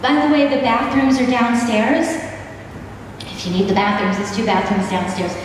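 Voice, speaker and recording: A middle-aged woman leading a church women's gathering. She is chatty and keeps clearing her throat because she is losing her voice. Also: on the high side, steady tone, normal speed. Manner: warm, chatty, easily sidetracked, frequent throat clearing. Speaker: dance minister. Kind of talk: informal ministry talk to a women's gathering. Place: large wood-panelled hall or church, on a stage. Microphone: handheld mic, filmed from a distant camera. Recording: roomy hall echo, distant mic, noisy.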